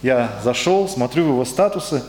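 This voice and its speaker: A man's voice: medium pitch, lively intonation, moderate pace, darkish tone. A man preaching a conversational sermon in speech, hesitant and searching for words, and putting questions to his congregation. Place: church hall. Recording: echoey hall with faint ambience.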